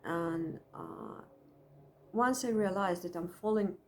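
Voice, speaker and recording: A middle-aged woman with a Russian accent, a psychologist speaking at home in a thoughtful, halting way, with frequent pauses and restarts. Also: lowish voice, a little mellow. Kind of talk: personal reflection to camera.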